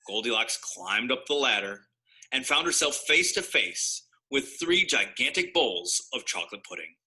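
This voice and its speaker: Very bright, forceful man reading a storybook. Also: medium pitch, animated, normal speed. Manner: wry, mock-innocent asides. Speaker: storybook narrator. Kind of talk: expressive children's story read-aloud.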